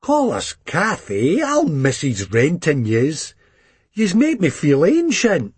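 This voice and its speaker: A man with a Scottish accent voicing a doting middle-aged Scottish mother, husky and sing-song. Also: low-pitched, wildly swooping pitch, slow pace, a little mellow, dry recording, pitched above the performer's own voice, full character voice. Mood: chatty.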